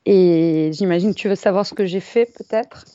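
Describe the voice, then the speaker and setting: A middle-aged woman from France, a yoga teacher, answering interview questions in a hesitant, reflective way with many pauses and fillers, over a remote recording. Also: on the low side, dark tone, no echo. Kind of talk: casual interview chat. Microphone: remote call recording. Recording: dull, dry remote recording.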